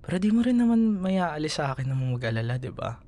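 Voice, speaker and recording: A man with a Filipino accent playing a gentle, protective young boyfriend in an intimate ASMR roleplay, soothing and murmuring tenderly. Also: on the high side, very animated, fairly quick, pitched above the performer's own voice. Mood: reassuring, concerned.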